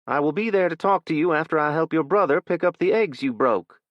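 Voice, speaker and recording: A man voicing a protective young farm mother, his voice pitched well up and pushed louder. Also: expressive, fairly quick, no echo, highs cut off, close to an everyday voice. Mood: urgent, firm.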